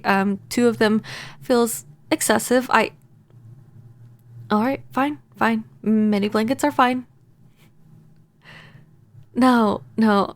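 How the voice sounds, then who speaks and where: A woman playing a gentle, apologetic vampire neighbor in a soft-spoken, hesitant ASMR audio roleplay. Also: a little high. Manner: hesitant, stammering, earnest.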